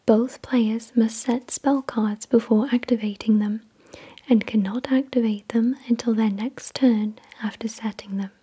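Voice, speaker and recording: Female ASMR host, very breathy whisper, slow measured delivery, dark soft tone. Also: a little high, steady tone. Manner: slow, deliberate, measured reading. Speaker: ASMR video creator and host. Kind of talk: soft-spoken ASMR, reading card text aloud.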